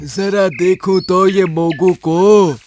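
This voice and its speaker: An actor voicing a brash, curious male bear in a cartoon, speaking in a loud, excited way. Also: high voice, slow pace, a little hiss or hum. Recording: cartoon with music behind.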